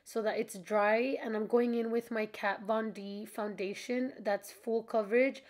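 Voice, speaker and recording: A woman's voice: on the high side, fairly even intonation, a little slow. A young woman giving a casual, chatty makeup tutorial voice-over in an informal, friendly-to-viewers way, sounding slightly stuffed up from allergies. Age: young adult.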